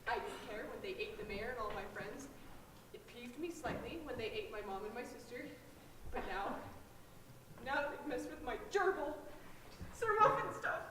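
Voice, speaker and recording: A woman's voice: a little high, lively intonation, moderate pace, close to an everyday voice. A woman playing a bossy, melodramatic young woman in an amateur stage comedy, projecting with emphatic delivery. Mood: melodramatic, defiant. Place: school theater stage, distant microphone. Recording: very echoey hall, distant, noisy.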